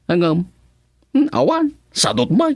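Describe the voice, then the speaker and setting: An actor with an Ilocano accent playing a flirtatious, sheepish village farmer in a comic radio drama, sweet-talking and stammering when flustered. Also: male voice, sits high, very animated, slow pace, no echo, studio quality, exaggerated voice. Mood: playful boasting. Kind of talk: Filipino radio comedy drama acting.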